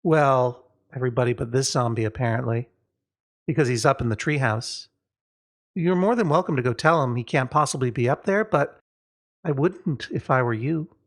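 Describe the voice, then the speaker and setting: A man's voice: medium pitch, moderate pace, close to an everyday voice. Storybook kid, read by a man: glum, matter-of-fact, slyly goading with dry warnings.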